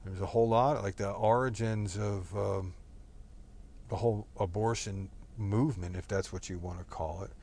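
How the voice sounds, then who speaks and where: Rambling profane man, low voice. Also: darkish tone. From Texas. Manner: rambling, profane, digressive with many fillers. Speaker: solo talker. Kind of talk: rambling monologue.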